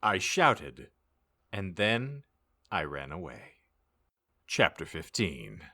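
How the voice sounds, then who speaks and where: A man narrates a comic audiobook in a deep, bright-edged voice. His pitch swings widely as he reads, giving it a theatrical, mock-serious delivery. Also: very animated, slow pace. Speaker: podcast host reading aloud.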